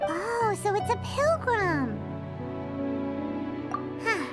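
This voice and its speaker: A woman voicing a tiny, cheeky fairy companion in a game, squeaky and childlike, full of exclamations. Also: sing-song, drawn-out words, exaggerated voice. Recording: loud music behind the voice.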